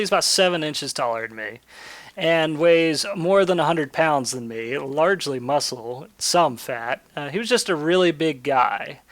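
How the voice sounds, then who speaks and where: A man's voice: on the high side, a bit bright, clear, resonant tone, dry recording. A man chatting and telling stories casually as he hosts a gaming video, rambling and swearing freely. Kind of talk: casual chat and storytelling over gameplay. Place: indoors, voice-over for screen-recorded gameplay.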